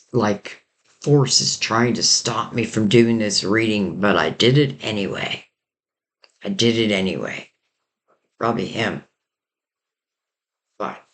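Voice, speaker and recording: A man giving a rambling, casual tarot reading while losing his voice and sounding hoarse. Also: fairly low pitch, fairly bright tone. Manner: rambling, loose and intuitive, many "like" and "you know". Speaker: tarot reader.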